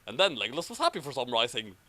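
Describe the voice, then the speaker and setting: A man's voice: mid-range voice, sing-song, very fast, pushed and bright, dry recording. A man hosting and narrating a comic fan fiction reading in an amused, theatrical way. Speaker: host and narrator.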